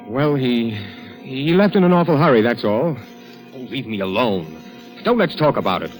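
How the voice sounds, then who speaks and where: An actor playing an evasive, defensive husband in 1940s radio drama style, hesitant and snappish. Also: male voice, mid-range voice, expressive, normal speed, natural voice, poor audio. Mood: defensive, irritated. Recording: vintage radio broadcast with music.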